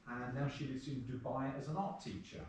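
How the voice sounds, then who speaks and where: A man's voice: medium pitch, fairly even intonation, brisk, darkish tone. A man introducing a guest in an informal, lightly joking way. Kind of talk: casual spoken introduction. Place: house gathering room, indoors. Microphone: distant from the speaker. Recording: very echoey room, distant, faint noise.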